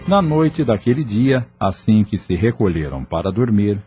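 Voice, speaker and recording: A man narrating a scripted audio novel in a measured, dramatic storytelling manner. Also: fairly low pitch, very animated. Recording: muffled, lo-fi track with music. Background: clear background music.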